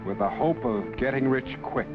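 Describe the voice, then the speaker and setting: An actor playing a solemn, commanding time-travelling scientist, narrating dramatically in a grave, declamatory way. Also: male voice, mid-range voice, lively intonation, moderate pace, natural voice. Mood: grave, portentous. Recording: tinny vintage broadcast, loud music behind.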